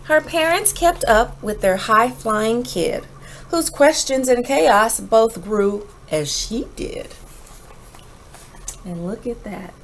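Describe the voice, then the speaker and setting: Animated woman reading to children, slightly high voice. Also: gravelly, a little hiss or hum, rough recording. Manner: animated, dramatic emphasis. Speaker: teacher reading a picture book aloud. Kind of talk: expressive children's read-aloud with asides.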